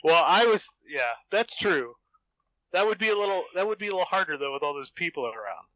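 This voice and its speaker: Man, bright forceful voice, playful mock-serious banter, dry but muffled recording. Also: sits high, expressive, brisk, no echo. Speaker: podcast guest, fan fiction author. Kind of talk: casual group chat.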